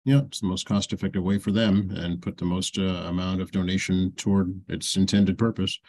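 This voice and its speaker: A man's voice: deep voice, steady tone, fairly quick, darkish tone, slight background noise. A man hosting a local talk show, interviewing a guest with brief affirming replies.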